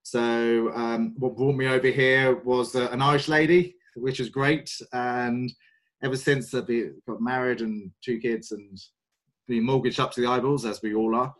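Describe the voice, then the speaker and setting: A man in his forties with a London English accent speaks as a podcast guest. He talks slowly and thoughtfully, pausing often and filling the gaps with "um" and "uh." His voice sits at an ordinary middle pitch. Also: fairly even intonation, poor audio. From North London, England. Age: 40s. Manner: hesitant, many fillers, thoughtful. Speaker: guest, sales and mind coach. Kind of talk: podcast interview.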